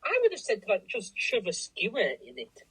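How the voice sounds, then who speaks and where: A woman's voice: mid-range voice, huge pitch swings, normal speed, slightly rough, no echo, rough recording. A woman co-hosting a craft show in casual chat, with a hesitant, wry way of talking.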